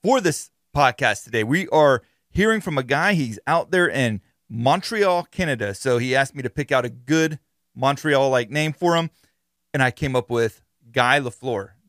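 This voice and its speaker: A man's voice: medium pitch, lively intonation, moderate pace, fairly bright tone, no echo. A man hosting a podcast, explaining things in an informal, conversational monologue on a close mic. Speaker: podcast host.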